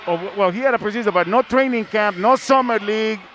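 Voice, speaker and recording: A man's voice: high voice, fast, fairly bright tone. A man hosting a live sports discussion, often repeating phrases as he builds up to his questions. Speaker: sports broadcast host. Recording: faint background noise.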